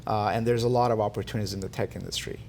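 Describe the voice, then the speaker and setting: A man born in Iran, a company founder answering interview questions in a measured way with many fillers. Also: on the low side, fairly even intonation, vocal fry, a little mellow, a little hiss or hum. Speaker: tech company CEO, guest.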